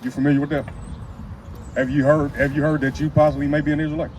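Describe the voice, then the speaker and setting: A man's voice: on the high side, flat delivery, fast, darkish tone, slight background noise, rough recording. A man on a street corner, questioning a passerby in a friendly, curious way. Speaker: street preacher greeting passersby. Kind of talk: casual street conversation. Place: outdoors by a street.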